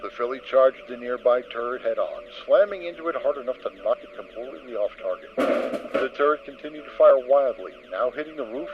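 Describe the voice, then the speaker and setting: Guy reading a story out loud, middle voice, bit muffled, quiet music under it. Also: mid-range voice. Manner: steady storytelling with occasional stumbles. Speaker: narrator. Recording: dull lo-fi amateur mic with soft music.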